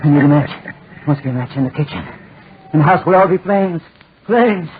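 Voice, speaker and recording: A man's voice: a little high, expressive, brisk, husky. An actor playing a deranged, desperate man in 1940s radio style, repeating his phrases feverishly and obsessively. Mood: manic muttering. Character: deranged desperate man set on burning his tormentor to death. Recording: scratchy, tinny 1940s radio recording.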